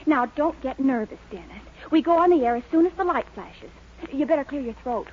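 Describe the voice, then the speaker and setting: An actress playing a supportive, scheming young sweetheart who encourages and coaxes, in 1940s radio sitcom style. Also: female voice, high voice, expressive, brisk. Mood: coaxing, instructing. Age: young adult. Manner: encouraging, coaxing. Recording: muffled, faintly hissy 1940s radio recording.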